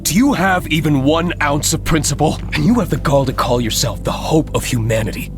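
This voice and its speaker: A man's voice: a little high, brisk, lightly acted. A man playing an indignant, scornful game hero. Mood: disgusted, accusing. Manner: accusing, scornful, earnest. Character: indignant male hero confronting a villain. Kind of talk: dramatic video game cutscene acting. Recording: loud music under the voice.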